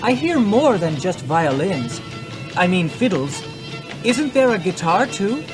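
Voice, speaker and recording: A man's voice: sits high, very animated, a little slow, music in the background. A man playing an inquisitive Greek visitor on a road trip in a scripted English-learning radio drama. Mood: curious. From Greece. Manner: inquisitive, simply worded.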